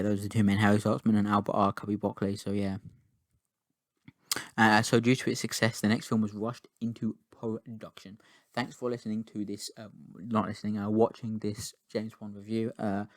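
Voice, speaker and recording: Guy rambling thru a movie review, low-ish flat voice, swears a bunch, dry sound. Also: no room sound. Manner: rambling and chatty, many restarts and casual swearing. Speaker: film reviewer. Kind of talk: casual spoken film review.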